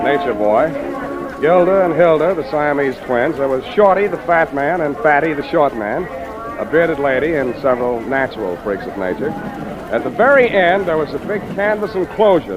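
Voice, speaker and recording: Man acting wry private eye, slightly raised pitch, dry world-weary wisecracks, dull 1940s radio recording. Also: natural voice. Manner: dry, wisecracking, hesitant drawn asides. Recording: dull vintage radio recording, loud background.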